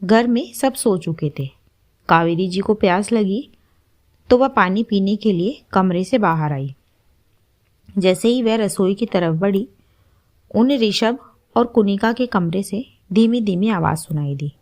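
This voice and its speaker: A woman's voice: mid-range voice, lively intonation, measured pace, darkish tone. Female storyteller for scripted narration, mid-range voice.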